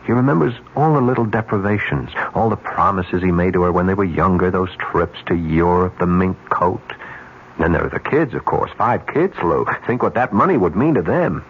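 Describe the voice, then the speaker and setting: A man's voice: sits low, animated, moderate pace, breathy edge, exaggerated voice. A man acting a cynical, persuasive advertising executive in a radio drama, with an American accent and a worldly, insistent manner. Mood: slyly persuasive. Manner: worldly, insistent, smugly sure of himself. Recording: dull, noisy old radio recording.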